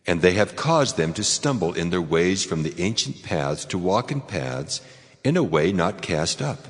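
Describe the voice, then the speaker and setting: A man playing a stern, solemn God passing judgment in a dramatized scripture reading, with a grave, commanding, declamatory delivery. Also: sits low. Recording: long cavernous reverb, faint music behind.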